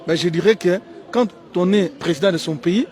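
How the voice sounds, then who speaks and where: A man's voice: sits high, normal speed, darkish tone. A middle-aged man with a Congolese French accent, giving opinionated interview answers in an emphatic way, repeating key phrases. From Kinshasa, DR Congo. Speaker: interviewee, former teacher.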